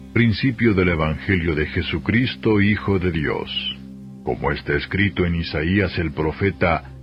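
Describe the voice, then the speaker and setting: A man with a Castilian Spanish accent reads scripture as a solemn, measured, formal narration. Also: low voice. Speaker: Bible reader. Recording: dull lo-fi audio, music behind. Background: music.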